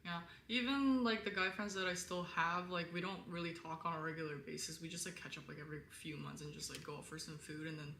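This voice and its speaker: Bright-voiced young woman in a roomy space. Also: steady tone, fast talker, creaky, few pauses. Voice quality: lower-toned voice. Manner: candid, rambling, frequent "like". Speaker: live stream host. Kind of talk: casual live stream chat with viewers. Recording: roomy echo, faint background noise.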